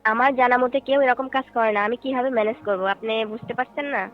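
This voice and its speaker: Female folk singer played by a woman, high-pitched, courteous and explanatory, dull phone-call sound with faint music. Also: steady tone, quick pace, close to an everyday voice. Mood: firm, refusing. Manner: polite, explanatory, questioning.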